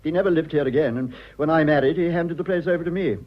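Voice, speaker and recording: An actor playing a prim, fussy, earnest son with an upper-class English accent in a radio drama. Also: male voice, on the high side, fast talker, dull audio, close to an everyday voice. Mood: indignant, rueful. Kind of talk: stage comedy of manners radio acting.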